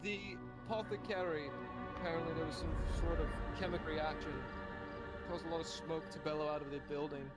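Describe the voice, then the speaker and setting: A man voicing a nervous male servant who explains things quickly and hesitantly to his master. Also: fairly high pitch, steady tone, over music, lightly acted. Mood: nervously explaining.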